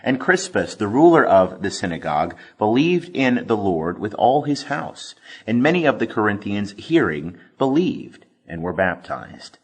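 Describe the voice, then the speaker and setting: Expressive male scripture reader, slightly husky. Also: lowish voice, measured pace, few pauses. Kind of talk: scripted audiobook narration of scripture.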